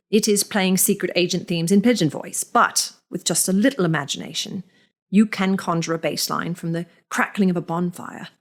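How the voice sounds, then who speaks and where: A woman narrating a first-person story in a measured, deliberate reading with lingering pauses. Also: on the low side.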